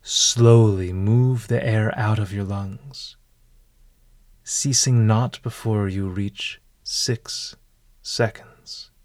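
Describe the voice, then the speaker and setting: Slow-paced man guiding a meditation. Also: on the low side, steady tone, a little mellow, no room sound. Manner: formal, measured, literary phrasing. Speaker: meditation guide. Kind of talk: guided meditation narration.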